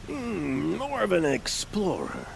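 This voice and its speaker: Shifty game hustler, slow gravelly voice, stammers and backtracks while pitching schemes. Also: male voice, huge pitch swings, slight background noise, full character voice. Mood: sly, coaxing. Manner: halting, throat-clearing, backtracking salesman patter. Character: shifty, blustering male opportunist and gambler, leering and scheming. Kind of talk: video game dialogue.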